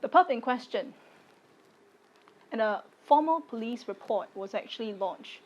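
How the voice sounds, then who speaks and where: A woman in her late twenties from Singapore, giving a personal video statement in deliberate, firm, short phrases. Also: on the high side, lively intonation, lo-fi sound. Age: late 20s. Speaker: content creator.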